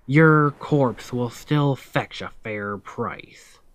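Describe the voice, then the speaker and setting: A man playing a stern, commanding pirate captain with gruff protectiveness in an ASMR roleplay, speaking deliberately and with authority. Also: no room sound. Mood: stern, pragmatic. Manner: slow, deliberate, authoritative, phrases trailing low.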